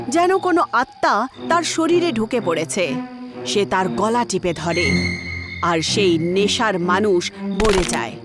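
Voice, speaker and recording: A woman narrating a horror story in a dramatic storytelling style. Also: on the high side.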